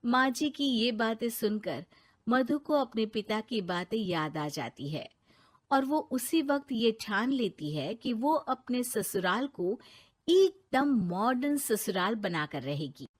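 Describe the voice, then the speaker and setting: A woman narrates a scripted moral tale. She takes her time with each sentence, in a fairly high storytelling voice. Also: big pitch swings, takes it slowly, husky.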